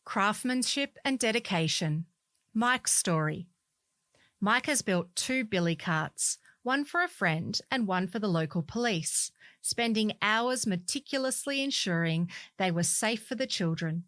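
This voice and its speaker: A woman's voice: medium pitch, lively intonation, measured pace, a bit bright, dry recording. A woman reading community newspaper stories aloud as scripted narration. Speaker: volunteer newspaper reader.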